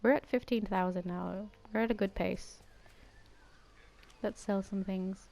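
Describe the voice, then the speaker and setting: A woman's voice: mid-range voice, fairly even intonation, a little slow, gravelly, darkish tone. A young Danish woman, a native Danish speaker with an Americanized English accent, chatting casually as she comments on her gameplay in a rambling, Valley Girl YouTube tone. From Denmark. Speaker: gaming YouTuber.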